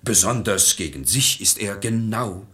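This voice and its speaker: An actor playing a gullible, pious, middle-aged master of the house in a German verse radio drama, theatrical and emphatic. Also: male voice, lowish voice, lively intonation, a little slow, pushed and bright. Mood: rapt devotion.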